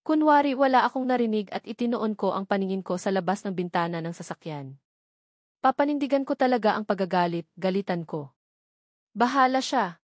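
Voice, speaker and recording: A woman's voice: mid-range voice, darkish tone, no echo. Woman narrating a romance novel, dry recording. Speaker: audiobook narrator. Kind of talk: scripted first-person romance narration.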